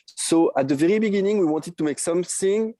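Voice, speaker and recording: A man with a thick French accent talks as a podcast guest in a mellow, warm voice pitched a little high. He stops often on fillers and tells his story eagerly. The sound is dry and close. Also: fairly quick, no echo. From France. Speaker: guest, NFT project creator. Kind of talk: podcast interview.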